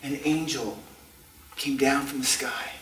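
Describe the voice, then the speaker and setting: A man telling a tall tale on stage in a theatrical way, with dramatic, deliberate pauses. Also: mid-range voice, steady tone. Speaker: contestant in a speech club storytelling contest. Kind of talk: theatrical tall-tale storytelling to a live audience. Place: indoor community meeting room. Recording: distant mic, roomy echo, faint noise.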